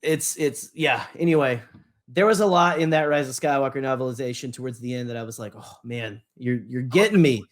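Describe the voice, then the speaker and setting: A man's voice: medium pitch, fairly quick. A man co-hosting a podcast in a casual fan discussion, rambling enthusiastically, often trailing off and repeating his words. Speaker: podcast co-host.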